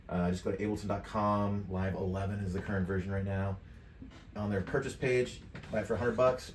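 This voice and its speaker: A middle-aged man with a fairly low voice presents a casual tech tutorial. He sounds a little way off from the microphone in an echoing room. There is some faint noise behind him as he walks through the software. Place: indoor room at a desk with gear. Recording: roomy, distant mic, clear background noise.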